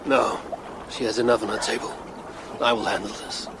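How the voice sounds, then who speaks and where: A man playing a proud, grim Norse Viking warrior in a video game, gravelly and terse. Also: on the low side, little pitch movement, moderate pace, heavy background noise, lightly acted. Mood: cold menace. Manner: terse, cool, threatening.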